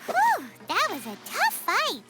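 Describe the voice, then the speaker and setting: A woman voicing a tiny excitable childlike fairy companion in a video game, squeaky and given to cheering exclamations. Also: slow, music in the background, exaggerated voice. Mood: excited cheering. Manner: exclamatory cheering, speaks of herself in third person.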